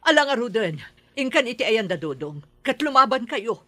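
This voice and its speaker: An actress playing a gang boss's anxious wife, speaking with urgency and scolding, in a radio drama. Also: female voice, fairly high pitch, very animated, moderate pace, bright, forceful tone. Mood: urgent.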